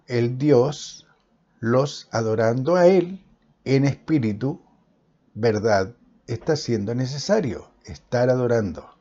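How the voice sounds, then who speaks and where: A man delivering a Bible sermon, stressing phrase after phrase with measured pauses. His voice is dark and a little husky. The recording sounds muffled and lo-fi. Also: medium pitch. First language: Spanish. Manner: emphatic, declaiming with pauses. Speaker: preacher. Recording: dull lo-fi recording.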